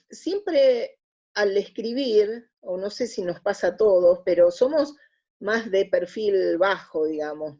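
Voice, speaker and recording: Casting: middle-aged Argentine woman, gravelly low-key voice, hesitant conversational interviewee, on dull lo-fi audio. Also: warm tone. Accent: Argentine Spanish. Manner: hesitant, searching for words. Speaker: interview guest. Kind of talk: casual reflective interview answers.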